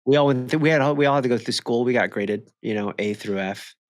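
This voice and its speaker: A man chatting casually as a podcast co-host, critiquing in an animated way. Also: medium pitch, quick pace, dry recording, clean studio sound. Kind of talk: casual chat and banter.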